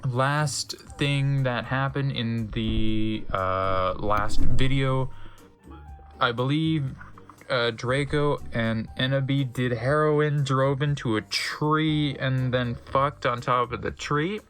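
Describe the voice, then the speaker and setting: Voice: medium pitch, takes it slowly, a bit bright, faint music behind. A wry, amused, sweary host giving casual asides during a comic dramatic reading. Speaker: host and reader.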